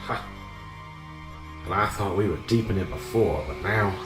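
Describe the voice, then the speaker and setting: An actor playing an older small-town police sergeant in a radio drama, plain-spoken, weary and defensive. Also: male voice, sits low, measured pace. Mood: appalled. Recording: roomy echo with music behind. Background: music.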